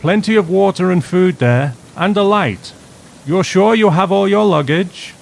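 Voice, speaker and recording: Storybook part: male reader as kindly old traveler calling out cheerily, pitched well up. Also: lively intonation, unhurried, gravelly, a little hiss or hum, exaggerated voice, rough recording. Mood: cheerful. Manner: calling out, reassuring. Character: cheerful elderly ship passengers calling farewell. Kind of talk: audiobook-style story reading.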